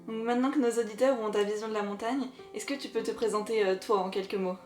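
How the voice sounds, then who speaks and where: A woman's voice: medium pitch, fairly even intonation, quick pace, reverberant, soft background music. A woman interviewing a guest for a podcast, asking prepared questions.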